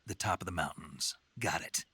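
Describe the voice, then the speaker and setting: An actor playing a gruff, terse soldier on a covert mission in a video game, with a gravelly voice. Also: male voice, deep voice, moderate pace, pushed and bright, no echo, exaggerated voice. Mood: terse, guarded. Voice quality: gravelly, husky. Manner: terse, dry. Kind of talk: video game radio-call dialogue acting.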